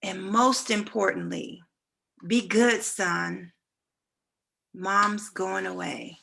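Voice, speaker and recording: A woman acting a slow, tender dying mother. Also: mid-range voice, fairly even intonation, creaky, bright, forceful tone, heavily acted. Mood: tender, solemn. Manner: slow, deliberate, solemn delivery. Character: tender mother saying a final farewell to her son.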